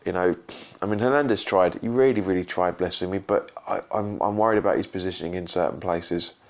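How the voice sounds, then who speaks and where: Croaky low-voiced man. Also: a little fast. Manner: rambling, full of ums and restarts. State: slightly croaky from shouting and drinks. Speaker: football fan vlogger. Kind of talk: casual post-match reaction vlog.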